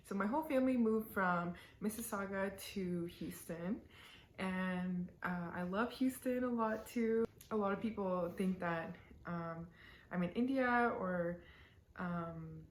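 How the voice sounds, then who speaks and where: A woman's voice: on the low side, slight background noise. A woman in her twenties chatting casually to camera in a Q&A, giggly and full of fillers. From born in the UAE, raised in Canada. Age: 20s. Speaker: vlogger. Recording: roomy echo.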